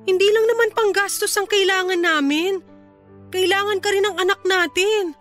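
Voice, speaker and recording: A woman's voice: extremely high pitch, steady tone, moderate pace, fairly bright tone, faint music behind. A woman playing a distressed young mother standing up to an abusive partner in an emotional Tagalog radio drama. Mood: hurt, reproachful. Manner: emotional, confrontational.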